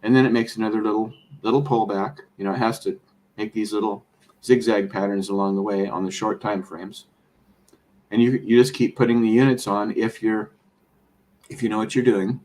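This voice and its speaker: A man with a slightly low voice talks through trading in a loose, rambling way. He is a bit hoarse, as if losing his voice, and fills his sentences with ums and restarts. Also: fairly even intonation, normal speed, a little mellow. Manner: rambling, explanatory, many fillers and restarts. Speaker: trader. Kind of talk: casual conversation.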